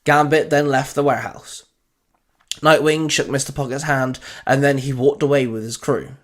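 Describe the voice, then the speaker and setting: A man serves as narrator for a scripted fan fiction story. His voice sits at an average pitch as he reads out the events. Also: steady tone, normal speed.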